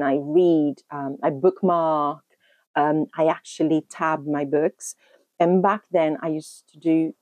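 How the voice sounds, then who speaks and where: Woman from Brazil, low mellow voice, dry close sound, chatty home vlog. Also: dry recording. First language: Brazilian Portuguese. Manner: chatty, thinking aloud with many ums and restarts. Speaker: book channel host. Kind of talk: casual book haul vlog. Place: room at home in front of a bookshelf.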